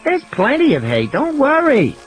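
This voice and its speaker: A man's voice: very high voice, wildly swooping pitch, normal speed, faint music behind, pitched above the performer's own voice, exaggerated voice. A man voicing a chatty young girl in an audiobook, bossy and affectionate, with a sing-song, doting, mock-scolding way of talking. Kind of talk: audiobook character acting.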